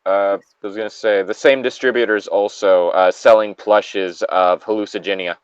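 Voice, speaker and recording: A man's voice: on the low side, fairly even intonation, moderate pace, clear, resonant tone, no echo, dull audio. A man chatting casually and reading aloud with friends, rambling and joking, swearing freely. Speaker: co-reader on a group creepypasta reading. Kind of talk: casual group chat and read-along banter.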